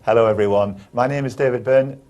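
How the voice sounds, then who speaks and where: A man's voice: mid-range voice, fairly quick, darkish tone, lo-fi sound. A man from the United Kingdom interviewing a colleague, asking encouraging questions with hesitations. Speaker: interviewer, neurologist. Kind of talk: oral history interview.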